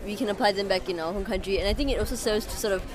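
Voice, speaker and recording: A woman's voice: mid-range voice, very fast, rough recording. A woman with a Singaporean accent giving a personal statement as a youth delegate. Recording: busy crowd, outdoor venue.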